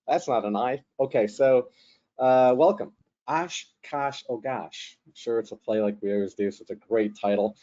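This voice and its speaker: A man hosts a webinar over a video call, chatty and joking, with lots of fillers along the way. His voice sits mellow and dark. The audio comes through slightly muffled. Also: medium pitch, normal speed. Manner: joking, rambling with frequent fillers. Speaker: webinar host and moderator. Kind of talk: casual, chatty webinar hosting.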